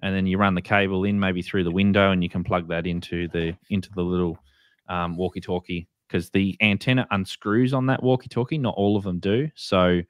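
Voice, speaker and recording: Man, low voice, Australian or New Zealand accent, informal explainer, chatty co-host, dry sound. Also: fairly even intonation, fairly quick, no echo. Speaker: co-host of a radio hobby show. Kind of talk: casual product walkthrough for beginners.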